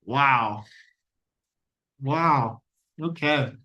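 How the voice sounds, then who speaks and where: A man's voice: medium pitch, extremely slow, bright, forceful tone, muffled recording. Earnest hesitant male podcast host. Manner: earnest, hesitant, with frequent restarts. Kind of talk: conversational interview. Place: lives in a Latin American country.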